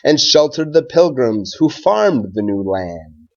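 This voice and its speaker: A man who is a schoolteacher doing a read-aloud for children and talking to pupils in a measured, simple way. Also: medium pitch, big pitch swings, a little mellow, lo-fi sound.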